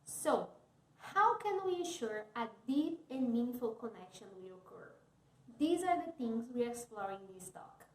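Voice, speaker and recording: Woman, 30s, careful scripted delivery, a bit high, roomy sound. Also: very animated, a little slow. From Brazil. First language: Portuguese. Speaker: teacher. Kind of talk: scripted talk, read aloud.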